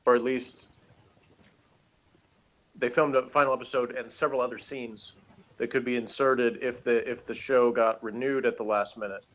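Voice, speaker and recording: A man with a mid-range voice talks as a co-host in a relaxed fan conversation. His delivery is informal and opinionated, like someone giving his own take. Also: mid-range voice, normal speed, long pauses, poor audio. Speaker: podcast co-host. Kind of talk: casual, opinionated fan chat.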